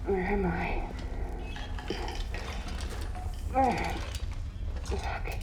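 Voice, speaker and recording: A woman's voice: lowish voice, extremely slow, background noise. An actress playing a guarded, defiant young female agent in a dramatic video game cutscene. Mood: dazed. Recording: echoey space with music behind. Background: music.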